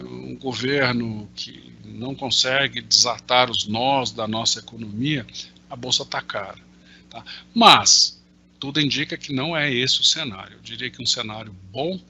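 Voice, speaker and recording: A man's voice: mid-range voice, bright, forceful tone, slightly rough, highs cut off. A man in his sixties with a Brazilian Portuguese accent, explaining things in an online Q&A with worked examples, full of hesitant fillers. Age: 60s. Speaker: invited market analyst answering questions. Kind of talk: explanatory talk in a virtual Q&A. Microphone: video call.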